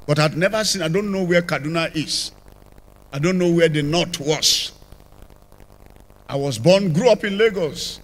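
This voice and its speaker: An older man with a Nigerian accent, telling his conversion testimony as a church apostle, with sudden rises and drops in loudness, on a handheld mic in a large church. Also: on the high side. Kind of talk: testimony sermon. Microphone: handheld microphone.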